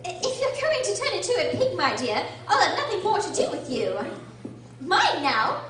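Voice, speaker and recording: A woman playing a polite, curious and earnest little girl with a prim, expressive delivery in a storybook radio drama. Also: very high-pitched, moderate pace, very noisy, heavily acted. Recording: very echoey room, distant mic.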